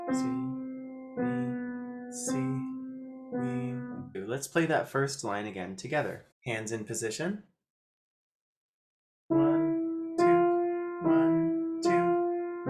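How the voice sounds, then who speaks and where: Guy teaching piano, slow and deliberate, short counting phrases, piano sounds in the background. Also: mid-range voice. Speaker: piano teacher, host of video lessons. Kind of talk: step-by-step instructional demonstration. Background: piano notes.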